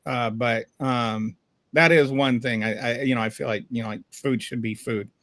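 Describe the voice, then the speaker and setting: A man from Louisiana hosting a casual panel chat, talking in a halting way with lots of restarts. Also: medium pitch, a bit bright, no room sound. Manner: halting, full of fillers and restarts. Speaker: host.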